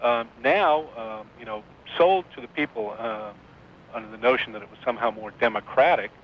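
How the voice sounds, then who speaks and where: A man's voice: animated, fairly quick. Male radio caller on noisy dull line. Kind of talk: conversational political discussion. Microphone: phone. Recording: noisy dull call-in line, low rumble.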